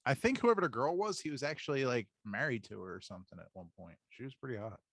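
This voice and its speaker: A man's voice: medium pitch, expressive, fast, fairly bright tone, dry recording, studio quality. A man co-hosting a podcast, telling things casually with crude, irreverent swearing. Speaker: podcast co-host. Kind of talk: casual pop-culture chat.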